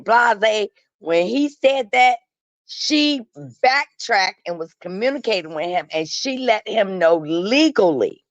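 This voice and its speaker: A woman's voice: medium pitch, dry recording. A woman giving casual gossip commentary as a guest, emphatic and matter-of-fact, with colloquial phrasing. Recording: dull, lo-fi call audio.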